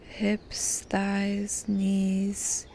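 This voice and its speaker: A woman guides a meditation in a soft, mellow voice with some creak in it. Her delivery is gentle and very unhurried, with pauses between short phrases. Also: medium pitch, completely flat delivery, a little hiss or hum.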